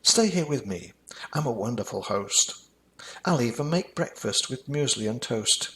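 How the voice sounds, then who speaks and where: A man's voice: medium pitch, lively intonation, moderate pace, fairly bright tone, lightly acted, low-quality recording. A man voicing an earnest, kind-hearted child, eager and emphatic, in a rhyming children's storybook. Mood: curious, kind.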